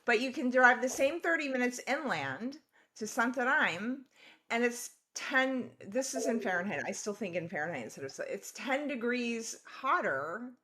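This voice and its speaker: A woman's voice: fairly high pitch, normal speed. Chatty woman host. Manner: chatty, relaxed, uses "like" often. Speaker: podcast host. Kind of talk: casual conversation.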